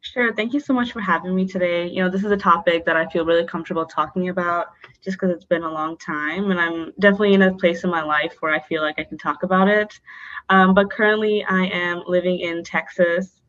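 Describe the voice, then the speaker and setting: A woman's voice: on the low side, fairly even intonation, brisk, a bit bright, highs cut off. A young woman guest on a podcast, answering openly with frequent "um" and "like". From North Dakota, born in Bangladesh. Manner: open, many fillers like um and like. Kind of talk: candid interview answers.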